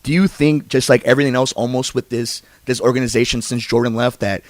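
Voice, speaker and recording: A man's voice: medium pitch, steady tone, fast talker, no echo. Dry-sounding American male podcast interviewer. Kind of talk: conversational sports interview.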